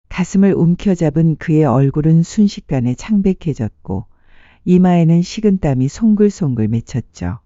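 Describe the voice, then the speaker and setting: Dark-toned, expressive story narrator. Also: mid-range voice, no room sound, dull audio. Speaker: audiobook narrator. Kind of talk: scripted dramatic story narration.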